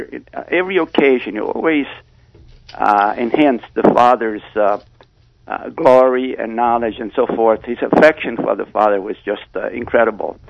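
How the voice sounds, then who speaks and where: A male caller with a creaky, gravelly voice at middling pitch offers casual scripture commentary. He rambles and halts often as he goes. The phone sound is dull and dry. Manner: hesitant, halting delivery with repeated pauses. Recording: dull phone line on radio.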